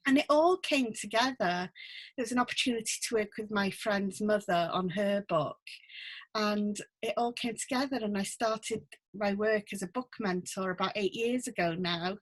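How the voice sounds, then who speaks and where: A middle-aged woman from North Wales, a guest talking gently and thoughtfully over a video call, with many ums and restarts. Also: mid-range voice, moderate pace, rough recording. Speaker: guest, book mentor. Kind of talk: casual interview chat. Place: home room with full bookcase.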